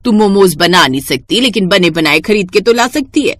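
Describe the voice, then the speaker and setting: A woman voicing a practical neighbourhood auntie in a village cartoon story, giving brisk advice. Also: medium pitch, lively intonation, dry recording, studio quality, lightly acted. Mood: frank, encouraging. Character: practical, advising village auntie next door.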